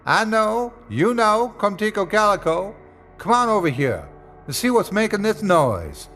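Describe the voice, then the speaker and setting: A man playing a lone old backwoods man, calling out in high, yelping dialect. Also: sing-song, measured pace, a bit bright, soft background music, full character voice. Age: older. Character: old backwoods man living alone, easily scared.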